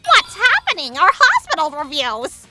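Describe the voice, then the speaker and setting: A woman playing a haughty, callous, easily flustered middle-aged aunt, the villain of a manga dub, in a sharp, melodramatic manner. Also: very high voice, wildly swooping pitch, moderate pace, soft background music, exaggerated voice. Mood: alarmed. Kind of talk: anime-style manga dub acting.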